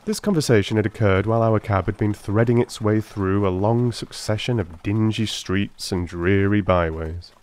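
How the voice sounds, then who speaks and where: A man's voice: on the low side, normal speed, hardly any pauses, a little mellow. A man reading scripted narration as a dramatic audiobook narrator.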